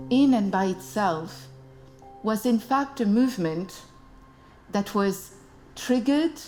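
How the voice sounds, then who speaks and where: A woman making a documentary statement in a slow, emphatic way, stressing single words. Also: medium pitch, darkish tone, poor audio. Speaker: documentary speaker.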